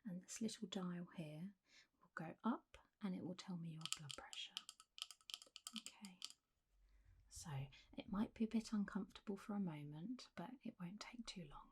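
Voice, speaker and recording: A woman role-playing a doctor for ASMR, speaking softly and often in whispers, with a gentle, reassuring, unhurried bedside manner. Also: on the low side, background noise. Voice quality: soft, breathy, often whispered. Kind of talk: whispered and soft-spoken ASMR medical roleplay.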